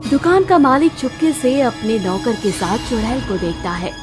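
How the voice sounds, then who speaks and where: A woman's voice: fairly high pitch, big pitch swings, normal speed. Woman narrating a story over music. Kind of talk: scripted cartoon story narration.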